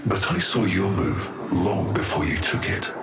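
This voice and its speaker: A deep-voiced, haughty old villain speaks slowly and formally, with theatrical swoops of menace. It is game cutscene drama, with loud music underneath. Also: male voice, fairly even intonation, gravelly. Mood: cold menace. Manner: theatrical, formal, slow and deliberate.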